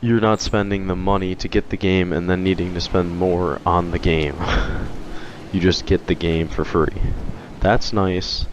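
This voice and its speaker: A young man with a hoarse voice from being sick, rambling through a casual opinion vlog with frequent restarts. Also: low voice, flat delivery, a little slow, lo-fi sound, background noise. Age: young adult. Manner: rambling, hesitant, many restarts. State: hoarse from illness. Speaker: video game commentator.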